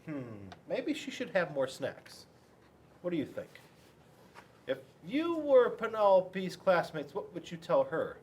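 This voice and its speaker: A man reading a storybook aloud to children in an expressive, chatty way, addressing his young listeners directly. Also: high-pitched, slow. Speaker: police school resource officer reading to children.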